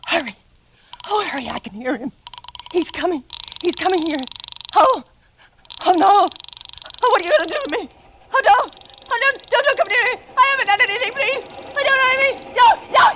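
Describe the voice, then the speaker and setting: A very high-pitched, neurotic wife in a vintage radio thriller. She is imperious and frightened, stammering breathlessly as she pleads. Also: lively intonation, a little fast, pauses often, muffled recording, background noise. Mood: panicked. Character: frightened, demanding invalid wife alone at night. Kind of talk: 1940s radio thriller acting. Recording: vintage radio drama recording.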